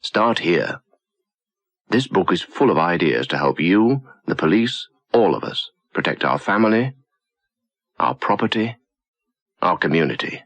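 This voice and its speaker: A man's voice: deep voice, big pitch swings, measured pace, pauses often, a bit bright, no room sound. A man reading a scripted campaign voice-over as an advert announcer.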